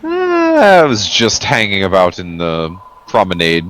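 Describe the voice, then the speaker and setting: Low-voiced joking man, very lively intonation. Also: unhurried. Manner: joking, sweary. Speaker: tabletop game player. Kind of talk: casual game chat.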